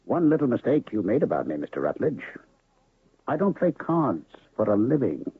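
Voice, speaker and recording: A gravelly weary old gambler-doctor. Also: male voice, mid-range voice, big pitch swings, normal speed, dry recording, heavily acted. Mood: dry. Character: weary honest gambler doctor, older man, gentle. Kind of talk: 1970s radio drama acting. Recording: tinny lo-fi old radio broadcast.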